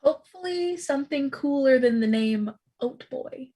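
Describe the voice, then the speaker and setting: Actress as blunt, quirky non-binary co-op employee for a comedy read, high voice, very varied pitch. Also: female voice, expressive, moderate pace, dark tone. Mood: deadpan, offbeat. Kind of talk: comedy pilot table read.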